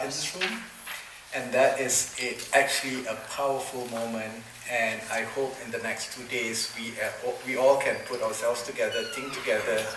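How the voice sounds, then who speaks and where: A man from Malaysia, a forum's program director, answering on a conference panel, thoughtful and full of fillers and restarts. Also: mid-range voice. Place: conference room. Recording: echoey room, far from mic, noisy.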